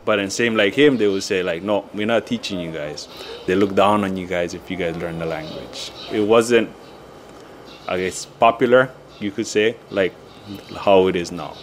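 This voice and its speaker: A man's voice: low voice, a little hiss or hum. A man in his forties with a Hawaii Pidgin accent, talking hesitantly and casually in an interview. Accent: Hawaii Pidgin English. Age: 40s. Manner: hesitant, halting speech with many fillers. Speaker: adult language learner.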